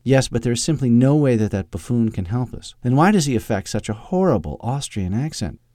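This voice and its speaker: A man's voice: medium pitch, lively intonation, brisk, warm tone, no room sound, studio quality, lightly acted. A man voicing a curt, disdainful, coldly logical businessman in an audiobook story. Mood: dismissive. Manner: curt, pompous, exasperated.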